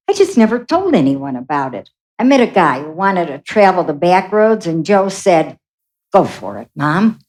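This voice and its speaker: A bewildered, salty mother in a radio drama. Her pitch swings widely, and she is emotional, irreverent and quick to exclaim. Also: darkish tone. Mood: defensive, flippant. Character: brash, salty dead mother, bewildered in the afterlife. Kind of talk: radio play acting.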